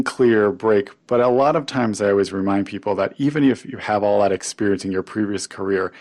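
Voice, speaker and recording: A man's voice: fairly low pitch, vocal fry, darkish tone. A man hosting a conversational podcast interview, talking in an affirming, encouraging way. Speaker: podcast host interviewing a guest.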